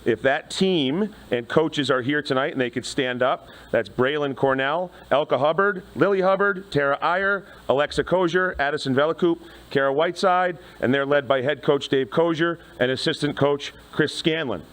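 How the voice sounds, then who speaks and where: A man with a loud voice, an athletics director announcing student awards into a podium microphone in a crowded meeting room. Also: a little high, normal speed, hardly any pauses. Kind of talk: public address at a board meeting.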